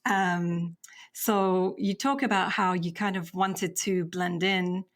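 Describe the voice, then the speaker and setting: A woman hosting a podcast interview, speaking personally and hesitantly with many pauses and fillers. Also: on the low side, steady tone. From Egypt, raised in England. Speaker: podcast host. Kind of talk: conversational interview.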